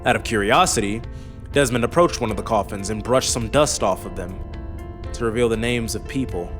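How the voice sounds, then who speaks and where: A man with a fairly low voice narrates a fantasy audiobook from a script. Faint music plays beneath his reading.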